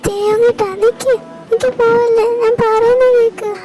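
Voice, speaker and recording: A woman's voice: very high-pitched, level tone, moderate pace. A woman voicing a desperate young woman who begs and cries, pleading not to be left. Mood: tearful pleading. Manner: crying, pleading. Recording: voice under loud music.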